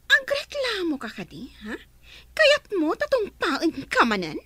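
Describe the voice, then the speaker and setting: A Filipino actress in a radio drama playing a spoiled, domineering young elder sister who scolds and makes haughty demands. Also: female voice, extremely high pitch, wildly swooping pitch, measured pace, bright, forceful tone, no room sound, full character voice. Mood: taunting.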